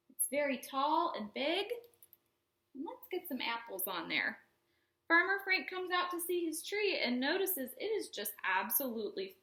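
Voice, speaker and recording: A woman leads a storytime lesson for little children in a high voice that swings widely up and down. Her tone is bright and forward. She explains things simply, in a sing-song way, and keeps putting questions to her young viewers. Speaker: children's librarian, storytime host.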